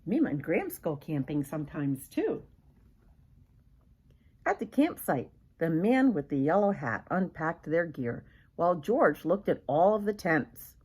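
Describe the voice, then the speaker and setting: A woman's voice: low-pitched, big pitch swings, measured pace, darkish tone, breathy edge. An older woman reading a children's picture book aloud expressively, as a grandmother would, with questions to the young listener.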